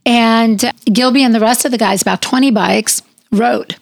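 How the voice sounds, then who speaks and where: A middle-aged woman with a raspy, hoarse voice, co-hosting a podcast and telling a long story in a chatty way. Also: a little high, fairly even intonation, moderate pace, dry recording. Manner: chatty storyteller, fillers like "like" and "you know". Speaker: podcast co-host. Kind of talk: casual chat.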